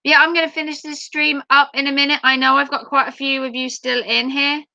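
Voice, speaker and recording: A woman livestreamer chatting playfully with her viewers, her voice going a little hoarse after hours of talking. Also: high voice, flat delivery, brisk, bright, forceful tone, dry recording, lo-fi sound. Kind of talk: casual chat with viewers.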